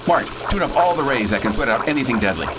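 A man's voice: medium pitch, sing-song, fast talker, noisy background, lightly acted. A man playing a breezy, decisive space adventurer who leads in slangy, commanding talk in a radio drama. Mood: urgent, commanding.

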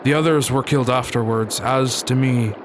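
An actor playing a sombre, plain-spoken sailor turned pirate in a period radio drama, speaking in formal, archaic phrases. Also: male voice, mid-range voice, fairly even intonation, normal speed, background noise, natural voice.

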